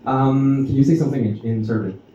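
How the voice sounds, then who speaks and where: A man with a Mexican accent, a native Spanish speaker, performing amateur stand-up with audience interaction and a halting, self-interrupting delivery. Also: medium pitch, warm tone. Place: live stage in a roomy venue. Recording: echoey noisy room, distant muffled pickup.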